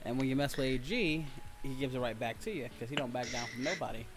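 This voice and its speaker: A man's voice: mid-range voice, fast talker, noisy background. A man hosting a livestream in casual banter, talking in a blunt, teasing, cocky manner. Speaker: livestream host and voice actor.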